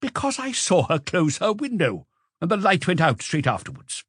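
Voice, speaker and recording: A man's voice: high-pitched, sing-song, moderate pace, a bit bright, breathy edge, dry recording, studio quality, pitched above the performer's own voice, full character voice. A man voicing an observant, self-assured witness in an audiobook, answering in a precise, matter-of-fact way. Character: observant male witness, precise and sure of himself.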